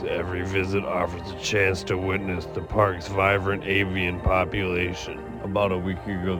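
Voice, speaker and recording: A low, hoarse male voice reads a scripted trail guide in an even, level tone. The rasp is steady throughout, and music plays clearly behind him. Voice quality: raspy. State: hoarse from yelling. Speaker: vlogger. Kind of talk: scripted voice-over narration.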